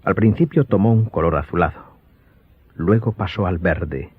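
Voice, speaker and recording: A man giving scripted, dramatic audiobook narration in a husky voice. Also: low voice, normal speed, no room sound. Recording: dull lo-fi sound.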